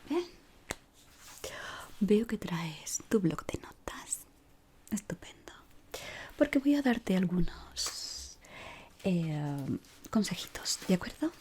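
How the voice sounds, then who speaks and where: A woman whispers slowly and deliberately. She plays a polite, exacting decorator in an ASMR roleplay, with a breathy delivery and careful pauses. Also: medium pitch, big pitch swings. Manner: slow, deliberate, pausing, softly spoken. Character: polite but exacting decorator of an eerie office maze.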